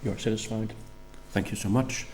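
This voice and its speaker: A man's voice: on the low side, steady tone, moderate pace, darkish tone, slight background noise. Formal man chairing a ceremony. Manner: formal, courteous. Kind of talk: formal ceremonial speech in English.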